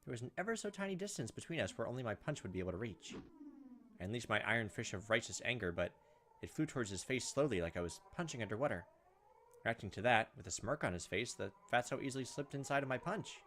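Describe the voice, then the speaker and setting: A man's voice: medium pitch, expressive, fast, fairly bright tone, a little hiss or hum. A man hosting a gameplay video, reading a visual novel aloud with theatrical, punchy delivery and joking commentary. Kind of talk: dramatic read-aloud narration mixed with joking commentary. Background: game music.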